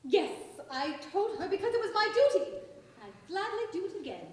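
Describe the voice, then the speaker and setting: Echoey, woman-acted haughty spiteful cousin, high voice. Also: very animated, a little fast, darkish tone. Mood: scornful. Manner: disdainful, pompous. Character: haughty, spiteful, self-righteous female cousin. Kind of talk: staged radio-play acting. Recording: noisy echoey hall, distant pickup.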